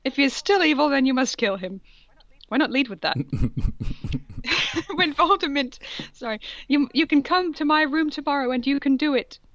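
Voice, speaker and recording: A woman with a fairly high voice reads fan fiction aloud on a podcast. She plays it up with mock drama and steps out of the text for amused comments. The sound is dry, close and a little dull and lo-fi. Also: lively intonation, moderate pace, fairly bright tone, no room sound. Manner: mock-dramatic read-aloud, breaking off for asides. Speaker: podcast co-host.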